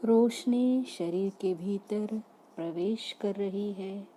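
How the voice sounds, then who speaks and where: Very slow, dark-voiced female meditation guide. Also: medium pitch, slight background noise, poor audio. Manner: soothing, unhurried instruction in short phrases with long pauses. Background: background music.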